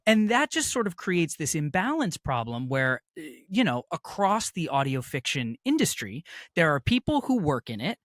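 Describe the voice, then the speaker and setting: A man's voice: fairly high pitch, sing-song, normal speed, a bit bright, no echo, clean studio sound. An American man, a chatty and emphatic interview guest telling stories in a casual, jokey way. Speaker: interview guest, audio fiction producer. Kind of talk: casual conversational storytelling.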